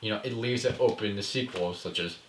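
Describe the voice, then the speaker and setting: A man's voice: fairly low pitch, fairly quick, fairly bright tone, a little hiss or hum. A man speaking casually as a filmmaker in a discussion, explaining his ideas and often checking in with "right?". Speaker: filmmaker answering questions. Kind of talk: casual post-table-read discussion. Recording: echoey room.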